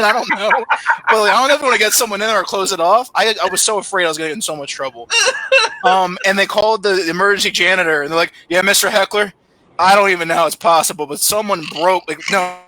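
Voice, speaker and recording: A man's voice: high-pitched, bright, forceful tone, no echo, poor audio. A young man hosting a casual podcast chat, telling stories animatedly and laughing often. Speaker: podcast host.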